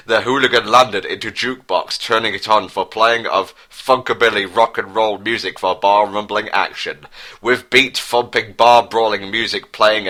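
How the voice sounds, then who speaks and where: Forceful, lowish-voiced man narrating a mock-dramatic reading. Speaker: reader and co-host.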